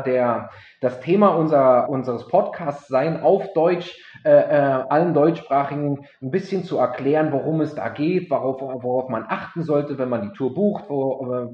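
A man's voice: mid-range voice, roomy sound, highs cut off. A man hosting a travel podcast from his office, talking casually with many fillers and restarts. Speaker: podcast host, tour operator. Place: office, talking over a call.

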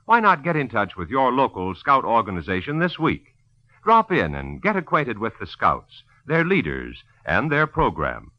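A man's voice: mid-range voice, huge pitch swings, moderate pace. A man with an American accent reading scripted announcements in an emphatic, formal 1950s radio style. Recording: dull old radio broadcast.

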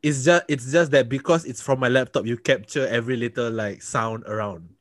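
A man's voice: mid-range voice, brisk, a bit bright, dry recording, studio quality. A man co-hosting a podcast over a video call, chatting casually, laughing at himself and using lots of fillers. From Singapore. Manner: laughing, self-deprecating, chatty with filler words. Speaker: podcast co-host. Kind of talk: casual chat. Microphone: handheld microphone over a video call.

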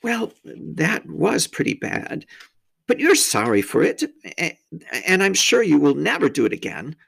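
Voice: mid-range voice, huge pitch swings, normal speed, gravelly, a bit bright, voice pushed up, exaggerated voice. A narrator playing a kind, anxious little girl who speaks in an earnest, polite, pleading way. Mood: gentle, earnest.

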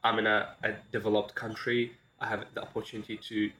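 Low-pitched young man telling his story casually. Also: monotone, a little fast, background noise. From Iraq. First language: Arabic. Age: young adult. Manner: informal, repeats phrases, frequent "like". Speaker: podcast guest.

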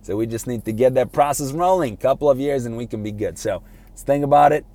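A man giving an informal motivational lecture in a halting, conversational way, often repeating words and posing rhetorical questions. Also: medium pitch, mellow. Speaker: speaker giving a self-improvement talk.

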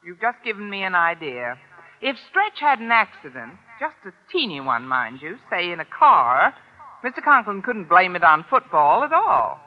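An American actress playing a wry, scheming high school teacher with a dry, mock-deferential manner in an old-time radio comedy. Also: female voice, sing-song, normal speed. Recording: muffled vintage radio recording.

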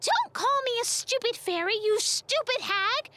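A woman voicing a small, feisty and loyal female fairy companion with a high, shrill voice in a fantasy story. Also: very animated, a little slow, bright, forceful tone, slight background noise, exaggerated voice. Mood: indignant yelling.

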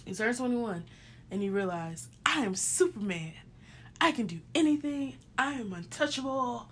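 A woman's voice: fairly high pitch, moderate pace, breathy edge. A woman in her late twenties co-hosting a casual chat, telling stories with a laugh and acting out what people said. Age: 20s. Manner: laughing, animated storytelling with acted-out quotes. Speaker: podcast co-host.

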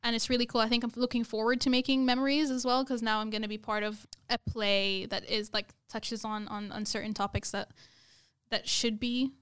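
A young woman with a fairly high, clear, smooth voice speaks as an interview guest. She is earnest and effusive, talking conversationally and doubling words for emphasis. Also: flat delivery, a little fast, fairly bright tone. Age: young adult.

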